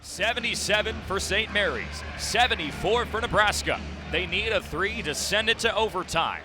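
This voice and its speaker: A man's voice: high voice, animated, moderate pace, over music. A young man calling basketball play-by-play for radio in clipped phrases, with emphatic calls on the big plays. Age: young adult. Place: indoor basketball arena. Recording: noisy live arena broadcast. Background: arena noise, bouncing ball.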